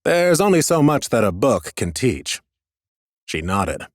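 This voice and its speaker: A man narrating a first-person fantasy audiobook in a measured storytelling manner, slipping into dialogue. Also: mid-range voice, sing-song, no room sound, clean studio sound.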